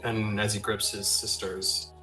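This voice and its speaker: Guy tabletop player chatting, bright forceful voice, echoey room, bit of music. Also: fairly low pitch, little pitch movement, a little slow. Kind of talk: casual tabletop game chat. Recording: roomy echo, music under the voice.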